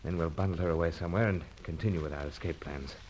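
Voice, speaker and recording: An actor playing a gentlemanly British secret agent in an old radio adventure serial, clipped and commanding. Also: male voice, low-pitched, fast, a little hiss or hum, close to an everyday voice, poor audio. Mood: hushed, brisk. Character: calm heroic British secret agent, leader and narrator.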